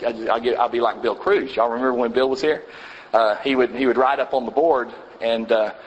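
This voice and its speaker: A man leads a Bible class in a church, talking casually and stopping often to restart his sentences. His voice sits at an ordinary middle pitch. The room adds a long, hanging reverb behind him. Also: fairly bright tone. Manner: halting, many fillers and restarts, chuckles. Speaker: preacher teaching a congregation class. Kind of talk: informal Bible class teaching.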